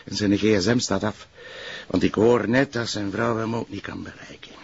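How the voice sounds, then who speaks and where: A man's voice: fairly low pitch, fairly even intonation, normal speed, natural voice. A man with a Flemish accent playing a dutiful, mild, slightly fussy police sergeant in naturalistic TV drama dialogue. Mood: harried. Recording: dull lo-fi TV soundtrack.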